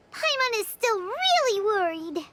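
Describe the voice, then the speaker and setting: A woman voicing a small, childlike fairy companion in a video game, excitable, loyal and blunt. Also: very high voice, very noisy, exaggerated voice. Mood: worried. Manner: refers to self in third person, blunt.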